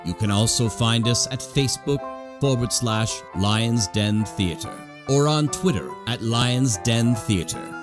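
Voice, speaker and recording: A man's voice: medium pitch, slow. A man announcing scripted closing credits for an audio play. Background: music.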